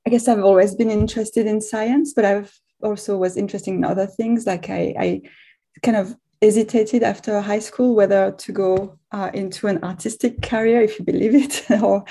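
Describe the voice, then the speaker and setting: A middle-aged woman, whose voice has a gravelly, creaky edge. Her tone is mellow and dark rather than bright. She speaks haltingly, restarting and correcting herself often as she answers interview questions. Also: medium pitch, steady tone. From France. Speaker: guest physics professor. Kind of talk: casual podcast interview.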